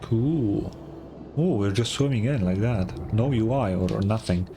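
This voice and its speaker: A man's voice: fairly low pitch, unhurried, mellow. Man reacting casually, music behind him. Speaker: streamer. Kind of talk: casual game playthrough reactions. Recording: game music under the voice. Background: video game music.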